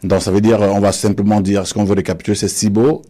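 A man's voice: on the low side, level tone, quick pace, dark tone. A man hosting a live panel show from a studio, with a wordy, ceremonious way of presenting. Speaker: host.

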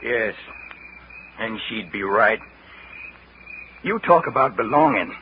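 A man's voice: fairly low pitch, animated, slow pace. An actor playing a sombre, dutiful young husband holding his feelings in check in a 1940s radio drama. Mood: restrained, firm. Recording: muffled, noisy 1940s radio recording.

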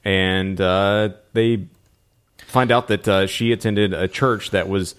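Man, lowish voice, podcast host, casual recap talk, halting delivery. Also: steady tone, a bit bright, smooth.

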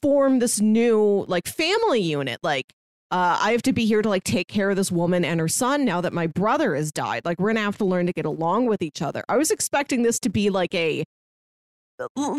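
A woman's voice: mid-range voice, very animated, moderate pace, dry recording. A woman co-hosting a podcast, casually discussing film and retelling things by acting out imagined lines. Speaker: podcast co-host.

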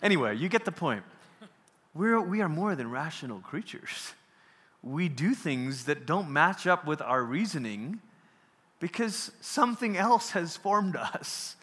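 A man's voice: a little high, big pitch swings, a little slow, a bit bright, in a large hall. A man from Malaysia giving a casual workshop lecture on theology, talking conversationally, checking in with the audience and often restarting phrases. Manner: conversational, asks the audience questions, restarts, laughs easily. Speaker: workshop speaker.

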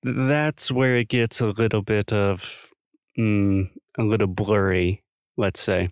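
A man's voice: on the low side, fairly even intonation, slow, clean tone, no echo, studio quality. A man from South Carolina co-hosting a podcast, talking thoughtfully and explaining with examples in a casual chat. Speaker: podcast co-host.

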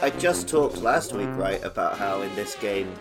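Lively, low-voiced man bantering on a podcast. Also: moderate pace, loud music behind the voice. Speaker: podcast co-host. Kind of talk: casual banter about a video game.

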